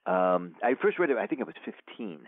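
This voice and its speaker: A very deep-voiced man speaks over a thin, phone-like line with a dry, close sound. He is an author chatting as a guest, wandering through his thoughts with lots of fillers and false starts. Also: sing-song, fairly quick, no room sound. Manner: rambling, many fillers and restarts. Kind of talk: casual interview talk.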